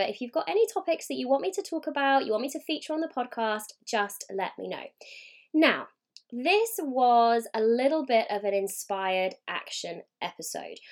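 A woman podcast host speaks in a loose solo monologue, with a voice that is croaky and hoarse from a sore throat. She sits a little above the usual pitch and stays upbeat and chatty, dropping in fillers as she goes. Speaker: podcast host and mindset coach.

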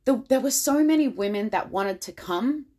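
A woman hosting her own podcast, her voice a little raspy from a lot of talking, speaking in an enthusiastic, motivational and direct way. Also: on the high side, animated, moderate pace, mellow. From Australia. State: slightly raspy voice from much talking. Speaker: podcast host. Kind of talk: solo podcast monologue.

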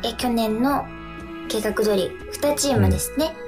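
A woman's voice: fairly high pitch, measured pace, music in the background. A woman co-hosting a radio show, chatting casually and giving announcements in a polite manner.

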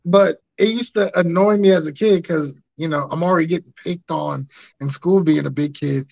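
A man in his thirties with a raspy voice, hosting a podcast and telling stories in casual chat, acting out quotes. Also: on the high side, normal speed, no room sound. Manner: animated, acts out quotes in funny voices. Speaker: podcast host. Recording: dry muffled lo-fi sound.

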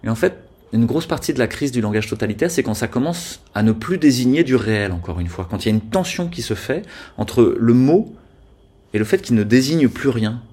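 Husky, earnest French-speaking man. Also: medium pitch, expressive, fairly quick, darkish tone. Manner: earnest, argumentative, many hesitations. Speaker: guest. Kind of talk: conversational interview.

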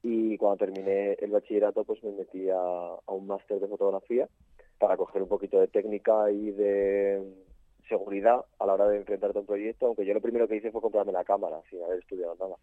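A man's voice: on the low side, flat delivery, fairly quick, no echo. A young man from Spain, a photographer guest, chatting casually with many fillers and restarts. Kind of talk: casual interview chat. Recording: tinny phone-like line.

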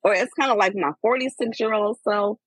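A woman in her forties, talking candidly in a casual chat, reflective and animated as she tells personal stories. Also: mid-range voice, fairly quick, breathy edge, no echo. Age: 40s. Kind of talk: casual heartfelt conversation.

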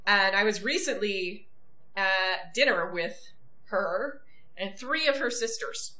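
A woman's voice: medium pitch, slow, bright, forceful tone. A woman co-hosting a casual chat about a TV episode, chatty and swearing freely. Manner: chatty, swearing, acts out quoted voices. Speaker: podcast co-host.

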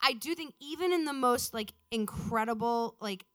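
A woman's voice: fairly high pitch, moderate pace, a bit bright. A woman in her forties chatting on stage, telling profane, ranting stories and mimicking people in a raised voice. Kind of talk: casual comedic chat.

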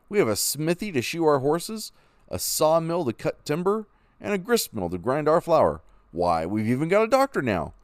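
A man's voice: medium pitch, no room sound, studio quality, pitched above the performer's own voice, lightly acted. A man voicing a cautious old settler grandfather. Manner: pleading, cautious. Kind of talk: storybook reading.

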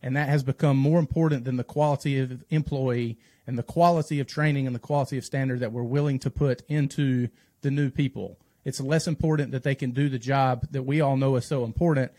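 A man's voice: mid-range voice, dark tone, dry recording. A man talking as a firefighter and instructor in a podcast conversation, explaining earnestly at length and correcting himself as he goes. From North Carolina, United States.

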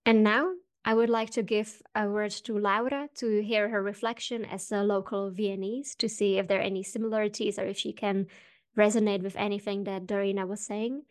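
A woman hosts a podcast, closing an interview and introducing the next guest. Her voice is smooth and clear. She speaks politely and hesitantly, with many fillers. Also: mid-range voice, fairly even intonation, a little fast. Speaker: podcast host.

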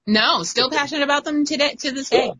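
A woman's voice: sits high, fairly quick, bright, forceful tone. A woman in her thirties chatting on a podcast, playful and teasing, laughing a lot. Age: 30s. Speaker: podcast guest co-host. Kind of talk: casual podcast chat.

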